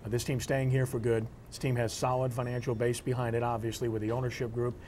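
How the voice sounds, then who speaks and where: A man's voice: mid-range voice, level tone, quick pace, a little mellow, a little hiss or hum. A man hosting a sports talk show, presenting and discussing in a broadcast style. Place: live remote broadcast from a local venue.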